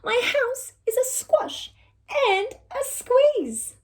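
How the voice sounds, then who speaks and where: A fretful little old lady from a storybook, wailing and exclaiming in a very high, swooping voice, as a woman puts her on. Her pitch leaps all over the place and her words come out slow and drawn out. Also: sing-song, heavily acted.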